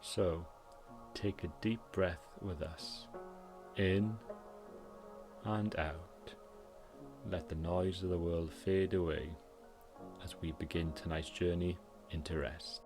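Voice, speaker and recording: A Welsh man gently narrating a scripted bedtime story in a soothing, calming way, as its host. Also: deep voice, slow, pauses often. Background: music.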